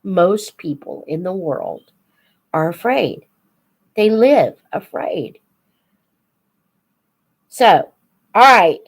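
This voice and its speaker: An older woman chatting casually as a live host for young viewers, in an unhurried, reflective, folksy manner. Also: on the low side, big pitch swings, slightly rough. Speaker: host of a children's book read-aloud channel.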